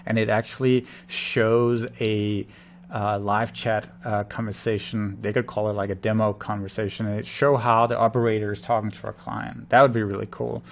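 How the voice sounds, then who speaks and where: A man's voice: on the low side, level tone, moderate pace, creaky, a little hiss or hum. A man giving an unscripted, blunt and opinionated screen-share critique as a marketing consultant. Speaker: conversion-rate marketing consultant.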